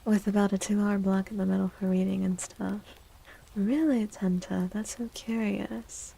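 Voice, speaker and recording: A woman speaking softly and breathily, often near a whisper, in an unhurried, gentle ASMR livestream chat. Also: mid-range voice, fairly even intonation, creaky, slight background noise, low-quality recording. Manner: gentle, slow, unhurried, addressing chatters. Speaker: livestream host and tarot reader.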